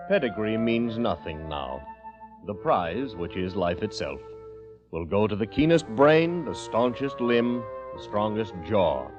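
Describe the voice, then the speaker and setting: An actor playing a detached scientific observer, speaking in a measured, declamatory old-time radio manner. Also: male voice, on the low side. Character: detached scientific observer, coolly pronouncing nature's verdict. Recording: muffled vintage radio with music.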